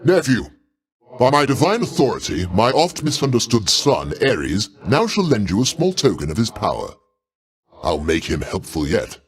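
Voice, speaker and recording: Older male god, proud and paternal, theatrical game voice, pompous old-fashioned diction, extremely animated intonation. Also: fairly low pitch, moderate pace. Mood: condescending. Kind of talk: theatrical video game voice lines.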